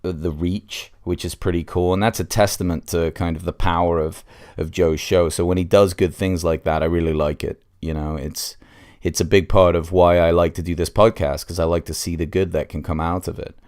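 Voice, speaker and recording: A man's voice: low-pitched, level tone, normal speed, darkish tone. A man hosting a casual solo podcast review, congested with a cold and speaking in an informal, hesitant way.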